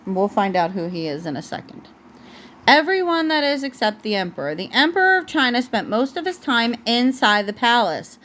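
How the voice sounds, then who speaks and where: A woman with a fairly high voice reads a children's storybook aloud at home. She is a mother reading to her young child, and her reading halts as she breaks off for asides and corrections. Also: big pitch swings, normal speed, fairly bright tone.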